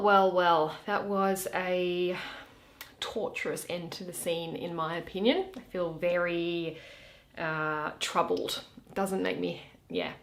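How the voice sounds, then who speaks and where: A woman hosting her own video, chatting to viewers about the play she has been reading aloud. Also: lowish voice. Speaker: host and reader of a Shakespeare channel. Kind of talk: solo dramatic reading of a play with commentary. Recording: roomy, echoey indoor recording.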